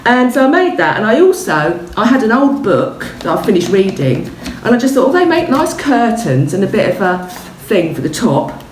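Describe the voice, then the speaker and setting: A woman's voice: mid-range voice, sing-song, normal speed. A roomy, slightly distant recording with faint noise carries a woman teaching young children. She talks in a chatty, informal show-and-tell way. Her explanations are simple, and she often checks that listeners are following. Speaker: primary school teacher.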